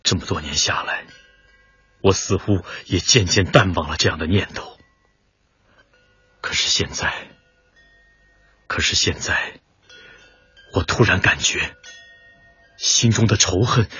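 An actor playing a grim, resolute martial artist son bent on revenge in a radio drama, speaking intensely and deliberately in a hoarse, breathy voice. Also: male voice, low voice, animated, sharp tone, lo-fi sound, soft background music, exaggerated voice. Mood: brooding, tormented.

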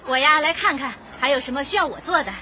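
An actress playing an encouraging neighbourhood committee director in a radio comedy, speaking in tidy officialese. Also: female voice, sits high, fast, bright, forceful tone, lo-fi sound. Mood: helpful, encouraging. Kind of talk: radio comedy drama acting.

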